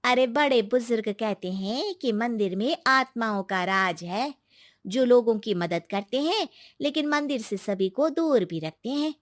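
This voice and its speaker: A woman's voice: on the high side, animated, unhurried, dry recording, clean studio sound. A woman voicing a kindly, secretive young village woman in a cartoon, speaking in an emphatic, reassuring, colloquial way. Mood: explaining. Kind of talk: Hindi moral-story cartoon dialogue.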